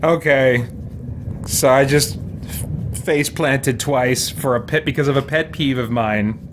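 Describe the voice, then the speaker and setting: A man's voice: medium pitch, a little slow, background noise. A man hosting a gaming stream with casual, rambling, explanatory let's-play commentary. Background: game music.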